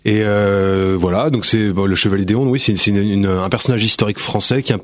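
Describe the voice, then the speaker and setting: A man from Burgundy, a playwright and director, talking fast as a radio guest with many restarts. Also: on the low side, steady tone, dry recording, clean studio sound. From Burgundy, France. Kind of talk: radio interview. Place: radio studio.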